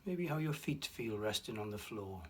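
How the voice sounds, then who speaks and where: A man guiding a relaxation meditation, speaking slowly and softly with long pauses and a breathy hush at times. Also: lowish voice, animated. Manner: slow, soft and soothing, with long pauses. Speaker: meditation guide. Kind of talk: guided meditation.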